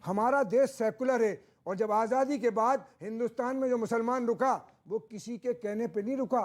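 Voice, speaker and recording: A man's voice: very high voice, moderate pace. A man speaking as a panelist in a heated television debate, emphatic and restating his points. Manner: emphatic, repetitive.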